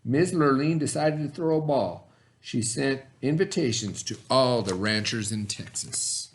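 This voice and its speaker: A middle-aged man reading a picture book aloud to a class in a folksy storybook manner, pitching his voice up for the characters. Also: unhurried, a little hiss or hum. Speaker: teacher.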